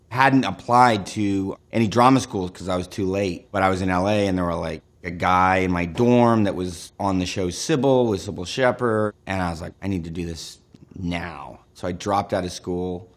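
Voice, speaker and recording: A man in his thirties recounts his stories in a loose, easygoing way, full of fillers. His voice sits a little on the low side. He comes across as an interviewee chatting casually. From Northern California. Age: 30s. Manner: relaxed storytelling with frequent fillers.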